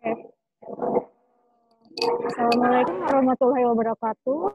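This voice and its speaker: A woman panel speaker talking slowly and hesitantly on a video call. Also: high-pitched, big pitch swings. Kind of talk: online discussion. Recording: lo-fi video call with loud noise.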